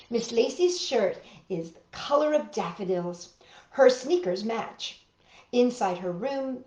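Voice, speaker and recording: A woman hosting storytime, reading a picture book aloud in an expressive, exaggerated way with drawn-out words. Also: medium pitch, roomy sound, highs cut off. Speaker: children's storytime host and reader.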